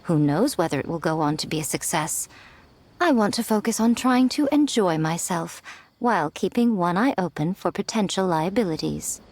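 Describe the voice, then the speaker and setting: A woman's voice: on the low side, expressive, normal speed, darkish tone. A woman voicing a shrewd, teasing noblewoman in a video game, with an elegant, wry and formal manner. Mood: cautious, composed. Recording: music playing behind the voice.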